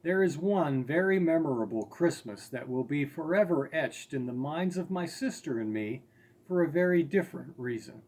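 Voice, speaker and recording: A man reading his own story aloud as its author, in an expressive, deliberate storytelling manner with emphasized words. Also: a little high, low-quality recording. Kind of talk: scripted story narration.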